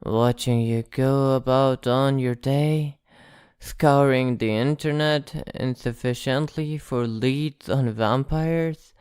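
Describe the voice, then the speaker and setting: A man playing an ancient, possessive vampire in an ASMR roleplay, speaking in a theatrical, condescending, teasing and softly threatening way. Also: mid-range voice, smooth, dry recording, clean studio sound, close to an everyday voice.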